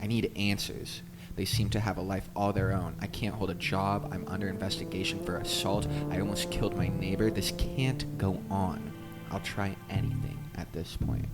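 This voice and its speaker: A man acts out a frustrated, desperate male patient in a horror tale. The voice is low and pleading, in short emphatic sentences. Music plays strongly underneath. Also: fairly even intonation, moderate pace. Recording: music bed behind the voice.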